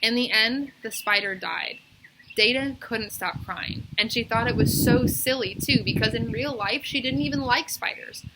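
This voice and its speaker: A woman reading a children's story aloud as a teacher, in expressive narration. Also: fairly high pitch, moderate pace, heavy background noise.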